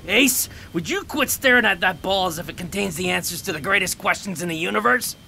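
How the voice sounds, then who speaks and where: A man's voice: sits high, a little fast, creaky, pushed and bright, slight background noise, exaggerated voice. A man playing a cranky, impatient police lab chief who grumbles in exasperation, in a comic sci-fi audio drama. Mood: exasperated.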